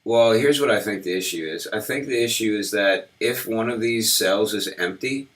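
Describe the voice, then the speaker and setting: Brief: deep-voiced male live-coder, slightly hoarse from a cold, casual and halting. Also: a bit bright. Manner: halting, lots of ums and restarts while working. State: slightly sick, losing his voice. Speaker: web developer streaming his work. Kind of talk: casual live-coding walkthrough.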